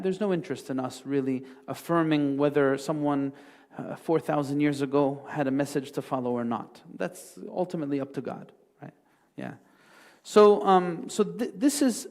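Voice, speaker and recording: A man's voice: on the high side, a little mellow. A man speaking as a religious teacher in an informal lecture, explaining step by step and checking in with listeners through short questions. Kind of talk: informal Islamic class lecture, answering a question.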